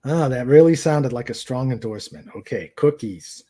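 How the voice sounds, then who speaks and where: A man's voice: medium pitch, lively intonation, moderate pace, mellow. A man hosting a casual live chat, reading out viewer comments in a hesitant, rambling way and repeating his phrases. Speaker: show host. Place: at home in a room with a couch.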